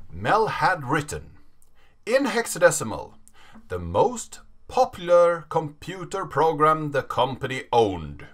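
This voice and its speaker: A man in his thirties giving a dramatic reading of a written story with deliberate, emphatic phrasing. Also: fairly high pitch, very animated, a bit bright. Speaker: narrator reading a story aloud.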